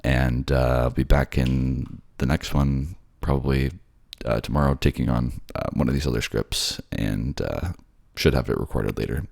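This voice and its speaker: A sleepy man, a voice actor chatting casually in a hesitant, low-key, apologetic way. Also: steady tone, moderate pace, creaky, few pauses, a little mellow, slightly rough, no room sound, clean studio sound. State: sleepy, just woke up. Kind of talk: casual outro chat and soft narration.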